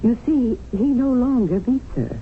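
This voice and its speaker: Radio-drama memsahib: worldly, managing, lecturing Englishwoman, expressive pitch, narrow hissy sound. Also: unhurried. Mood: matter-of-fact. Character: pragmatic, domineering English widowed memsahib in colonial India. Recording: tinny, noisy old radio broadcast.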